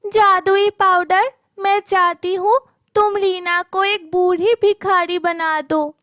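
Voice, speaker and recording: A woman voicing a young village girl, wronged and vengeful, in a Hindi animated moral story. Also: very high-pitched, takes it slowly, no room sound, clean studio sound, full character voice. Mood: scheming.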